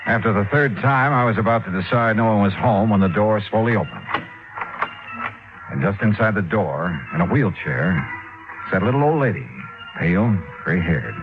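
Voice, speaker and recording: An actor playing a hard-boiled but courteous insurance investigator, wry and clipped, in 1950s radio detective style. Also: male voice, lowish voice, expressive, normal speed. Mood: wry, narrating. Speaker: detective lead and narrator. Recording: thin, phone-like old radio recording with music.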